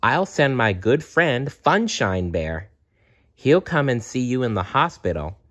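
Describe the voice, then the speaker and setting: A man voicing a gentle, comforting female bear from a storybook, soothing and reassuring, on a dull recording. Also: medium pitch, big pitch swings, unhurried, voice pushed up.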